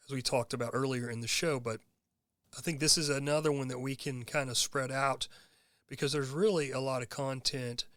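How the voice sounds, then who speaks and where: A hoarse man talks alone into the mic as host of his own show, earnest and rambling, with plenty of fillers. His voice sits at an average pitch and is recorded very dry, with no room sound. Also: bright, forceful tone, no room sound. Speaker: podcast host. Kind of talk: casual monologue.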